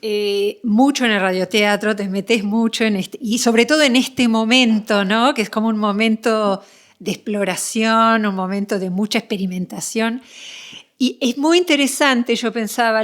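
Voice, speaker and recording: An Argentine woman hosts a conversational interview in a fairly high voice. She reflects aloud as she frames her questions, often adding short tags to seek agreement. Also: steady tone, measured pace. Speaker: podcast interviewer.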